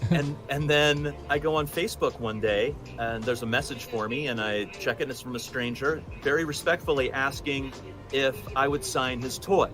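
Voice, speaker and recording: A man's voice: medium pitch, normal speed, fairly bright tone, faint music behind. A man in his forties, a voice actor being interviewed, telling stories in an enthusiastic, emphatic conversational way. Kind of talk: animated conversational storytelling.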